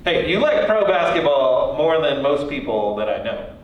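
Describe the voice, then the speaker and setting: A man's voice: fairly high pitch, sing-song, normal speed. A man hosting a casual, informal interview in a playful way. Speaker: interviewer, host. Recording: very echoey, distant, dull sound, faint noise.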